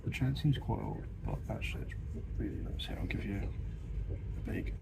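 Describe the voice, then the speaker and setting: A young Englishman with a slightly croaky, lowish voice talks loosely into a phone he holds at arm's length. He rambles in a friendly, unscripted way. Loud travel noise from the terminal and train sits under everything. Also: normal speed. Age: young adult. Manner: rambling, chatty, filming himself on the go. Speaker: travel vlogger. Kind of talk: casual travel vlog. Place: airport terminal, then a train carriage. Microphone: phone held at arm's length.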